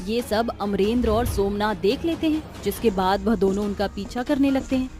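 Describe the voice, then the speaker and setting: A woman's voice: mid-range voice, moderate pace, music in the background. A woman giving scripted storybook narration as the narrator of a tale.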